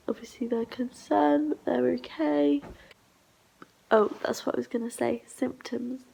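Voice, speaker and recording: A young woman vlogging at home while sick and congested, rambling in a low, half-whispered voice. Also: vocal fry, darkish tone, husky, poor audio. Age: young adult. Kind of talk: casual diary vlog. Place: flat, indoors.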